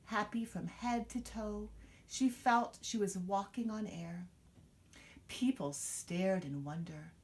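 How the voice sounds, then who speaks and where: A woman reading a children's picture book aloud, expressively, with dramatic pauses and emphasis. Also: medium pitch, a little mellow. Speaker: storybook reader.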